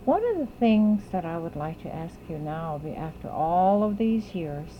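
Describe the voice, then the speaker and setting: A woman interviewer asking deliberate, formally worded questions in an oral history interview. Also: on the low side, expressive, dull audio, a little hiss or hum.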